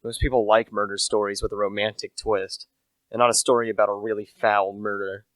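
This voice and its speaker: A man's voice: on the low side, little pitch movement, brisk, a bit bright, no room sound, close to an everyday voice, low-quality recording. A young man acting as a humble, anxious salesman telling a murder tale in an amateur radio play. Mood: troubled confiding. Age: teenager to young adult. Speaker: actor, narrator.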